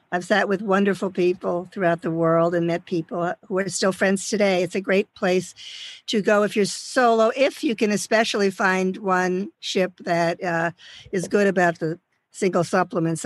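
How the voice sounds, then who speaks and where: A woman's voice: medium pitch, dry recording. An older woman hosting a travel podcast, chatting casually and reminiscing. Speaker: podcast host.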